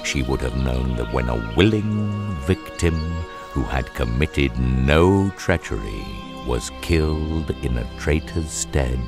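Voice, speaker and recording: A majestic great lion with an extremely low, gravelly voice. He is gentle and wise, speaking slowly and solemnly in a radio drama, with music playing behind. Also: lively intonation, heavily acted. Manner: slow, solemn, measured. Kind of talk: radio theatre acting.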